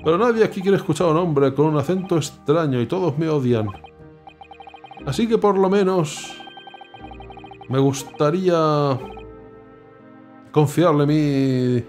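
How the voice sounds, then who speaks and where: A man's voice: on the high side, measured pace, pauses often, a little mellow, faint music behind, natural voice. A man reading the lines of an earnest young male student abroad who feels wronged, in an indignant tone.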